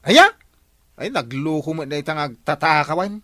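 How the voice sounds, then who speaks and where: A man's voice: fairly high pitch, lively intonation, moderate pace, pushed and bright, no room sound. Actor as sly village patrolman, close dry sound. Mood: teasing. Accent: Filipino Ilocano. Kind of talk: Ilocano comedy radio drama acting.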